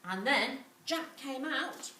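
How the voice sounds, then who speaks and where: Slow-paced woman storyteller, echoey room. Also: sits high. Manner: animated, repetitive, with dramatic pauses. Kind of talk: children's storytelling, asking the listeners questions. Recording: roomy, distant mic, clearly noisy.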